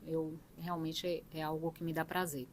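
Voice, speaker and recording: A middle-aged woman with a Bahian Brazilian accent, telling her own story conversationally as a guest, with halting, uneven emphasis. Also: low voice, little pitch movement, a little mellow, slight background noise. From Salvador, Bahia, Brazil. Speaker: police chief, interview guest. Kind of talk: conversational personal account.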